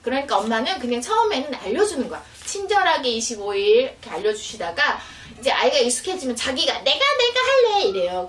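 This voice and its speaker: A woman instructor giving an animated, explanatory demonstration for parents, recorded indoors at some distance from the mic. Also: a little high. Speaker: children's education instructor. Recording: roomy, distant mic, faint noise.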